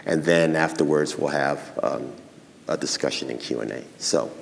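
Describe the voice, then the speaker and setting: A middle-aged man moderating a panel on a conference stage, his voice very low and set in a hall with a long reverb. He talks in a friendly, rambling way, filling gaps with frequent "um"s. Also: moderate pace, creaky, slight background noise. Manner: friendly, rambling, full of "um" fillers.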